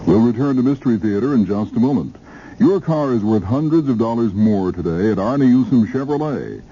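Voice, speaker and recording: A man's voice: mid-range voice, lively intonation, fairly quick, mellow, faint music behind. A man working as a station announcer, reading a 1970s radio car dealership advertisement. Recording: muffled vintage radio recording.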